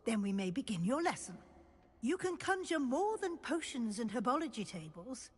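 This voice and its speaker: Lady magic professor in a game, middle-aged, kinda high husky voice, formal n encouraging, measured. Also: sing-song. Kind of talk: scripted video game dialogue. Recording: long hall-like reverb.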